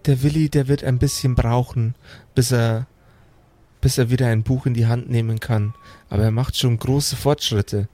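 A man plays a sweet old granny for an improvised tabletop audio game. She is doting and a little worried, speaking in gentle, courteous, old-fashioned phrases. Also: mid-range voice, fairly even intonation, moderate pace, close to an everyday voice. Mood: friendly, doting. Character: kindly old grandmother with a walker, doting, worried.

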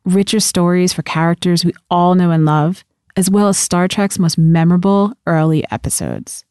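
A woman's voice: on the low side, mellow, no room sound, clean studio sound. A woman reading scripted documentary narration as the narrator.

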